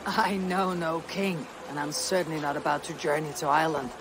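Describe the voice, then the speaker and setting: Woman as a low, husky Viking warrior. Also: brisk, lightly acted. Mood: firm. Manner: terse, plain-spoken, self-assured. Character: blunt, steady Viking warrior woman of action. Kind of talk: video game cutscene acting. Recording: outdoor ambience noise behind the voice.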